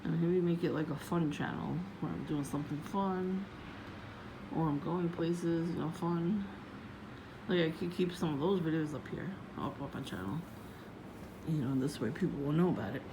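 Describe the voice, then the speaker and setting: A woman vlogging casually about her day in a low voice, meandering with many fillers and restarts. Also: normal speed. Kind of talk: casual rambling vlog. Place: outdoors, windy. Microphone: phone. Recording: noisy phone recording.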